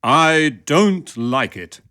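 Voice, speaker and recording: A woman voicing a mild but pompous King in a children's storybook, with a stately, drawn-out manner. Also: low-pitched, animated, fairly bright tone, pitched below the performer's own voice, full character voice. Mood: haughty. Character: mild, pompous grown male King of playing cards.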